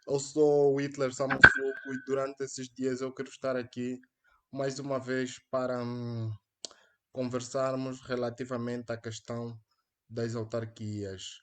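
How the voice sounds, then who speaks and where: A man's voice: mid-range voice, flat delivery, unhurried. Earnest young Angolan man, live stream. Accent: Angolan Portuguese. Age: young adult. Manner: earnest, hesitant, many fillers and restarts. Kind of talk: solo live stream on politics. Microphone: headphones with mic.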